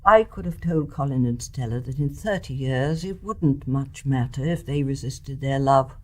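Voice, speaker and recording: An older actress playing a wise, tolerant elderly mother in a radio play, speaking in a reflective, genteel, gently reasoning manner. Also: extremely low pitch, moderate pace. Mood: wistful reminiscence. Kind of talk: measured radio drama acting.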